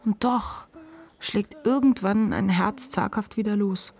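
An actress playing the inner voice of a depressed writer, weary and unflinching, in a measured literary monologue for a radio play. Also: female voice, mid-range voice, darkish tone, close to an everyday voice. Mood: foreboding, fragile.

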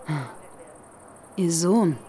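Low, cool, defiant woman on the run. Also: lively intonation, very slow, warm tone. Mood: accusing. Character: hardened, defiant woman on the run, former captive. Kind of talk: German crime radio drama acting.

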